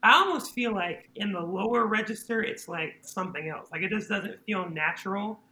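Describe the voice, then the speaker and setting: Male guest singer, naturally high and bright voice, light chatty delivery, echoey room. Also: expressive, normal speed, slight background noise. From North Carolina. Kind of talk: casual interview chat. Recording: roomy sound with faint guitar.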